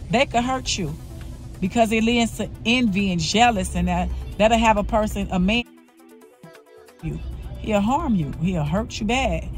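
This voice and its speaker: Woman in her 40s, earnest personal vlogger, soft music under her voice. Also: mid-range voice. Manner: earnest, confessional, repeats herself. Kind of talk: candid personal vlog monologue.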